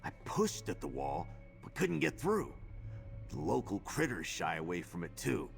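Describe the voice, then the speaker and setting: A gruff, well-travelled game adventurer, speaks in a heavily creaking voice that swings up and down. He is blunt and folksy, with a wry edge, over game music. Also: low voice, huge pitch swings, moderate pace, full character voice. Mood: curious, wary. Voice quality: gravelly. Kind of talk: video game character dialogue.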